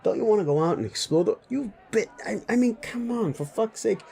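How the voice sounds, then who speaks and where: A man's voice: high voice, lively intonation, fairly quick, creaky, warm tone, slightly rough, a faint crowd, full character voice. A man playing a sleazy, bullying, foul-mouthed werewolf boss in a roleplay audio drama, blunt and needling. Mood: exasperated, scornful. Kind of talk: immersive roleplay audio drama addressed to listener.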